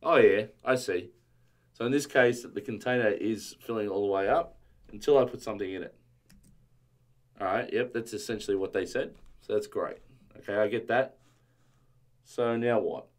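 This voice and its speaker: Man, low voice, slightly strained, casual live-stream coding, reads docs aloud, desk mic. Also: normal speed, long pauses. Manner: muttering while reading documentation aloud.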